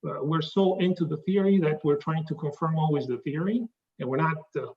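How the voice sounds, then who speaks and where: A man with an Italian accent giving a pitch presentation, talking haltingly with many fillers and restarts. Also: distant mic, lo-fi sound. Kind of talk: pitch presentation to a live audience.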